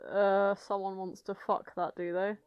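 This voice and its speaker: A woman's voice: medium pitch, level tone, moderate pace, clean tone, dull audio. A British woman co-hosting a podcast, chatting casually with friends in a blunt, incredulous, sweary way. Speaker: podcast co-host. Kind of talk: casual banter among friends.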